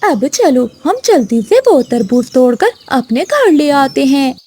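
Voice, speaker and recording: A woman's voice: high voice, very animated, a little slow, a little mellow. A woman voicing a sly, bossy female crow in a children's cartoon, speaking in a persuasive, emphatic way. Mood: scheming. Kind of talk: children's cartoon story acting.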